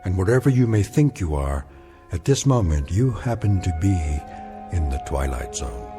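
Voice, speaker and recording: A man giving measured, ominous scripted narration for a radio drama. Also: deep voice, very animated. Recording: faint music score behind.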